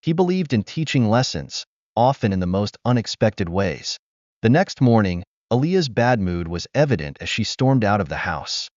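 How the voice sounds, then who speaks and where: A man narrating a scripted moral tale in a smooth, expressive storytelling manner. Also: on the low side, moderate pace, dry recording. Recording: dull dry recording.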